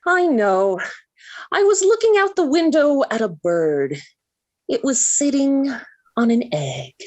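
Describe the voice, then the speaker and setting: An actress playing a dreamy, melodramatic, lovesick American teenage daughter in a 1940s radio sitcom, gushing and theatrical. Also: female voice, high voice, big pitch swings, slow pace, darkish tone, exaggerated voice. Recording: vintage 1940s radio recording.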